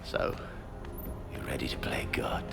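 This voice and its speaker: An actor playing a remorseful, obsessive middle-aged scientist in a video game, speaking in a halting, hushed, intense way. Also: male voice, natural voice. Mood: intense, reassuring. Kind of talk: dramatic video game voice acting. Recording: long reverb, music behind.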